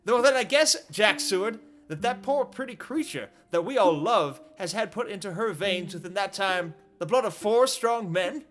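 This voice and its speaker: A man acts out a bold young adventurer in a radio play. His voice runs high and swings widely, blunt and intense, with a loyal, agitated temper. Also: lively intonation, moderate pace, hardly any pauses, fairly bright tone, faint music behind. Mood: alarmed, incredulous. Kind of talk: audio drama dialogue.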